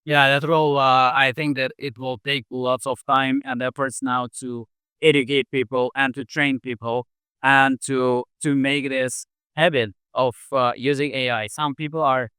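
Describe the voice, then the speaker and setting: A man who is not a native English speaker, with a bright, crisp voice. He talks in a halting way with frequent pauses, and the sound is dry and close. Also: mid-range voice, level tone, dry recording. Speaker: marketing head interviewer. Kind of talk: conversational interview.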